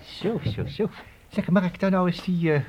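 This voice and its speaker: A man's voice: sits high, moderate pace, a little mellow, a little hiss or hum, close to an everyday voice. An actor playing an eccentric, absent-minded inventor professor in a children's radio play, fussy and stammering, repeating his words. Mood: eager, affable.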